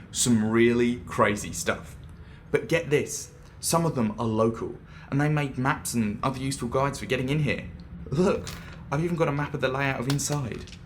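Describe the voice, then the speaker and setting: A man's voice: mid-range voice, natural voice. Guy acting as eager young ghost hunting lad, cheeky and chatty, bit of noise behind him. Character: excitable, cheeky young male amateur ghost hunter. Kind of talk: naturalistic audio drama acting.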